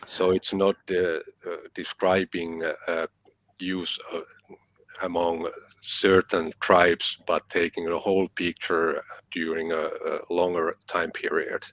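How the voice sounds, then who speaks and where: A man's voice: low-pitched, little pitch movement, slow pace, fairly bright tone, no echo, lo-fi sound. A man from Finland, not a native English speaker, speaking hesitantly as he searches for words as a guest author.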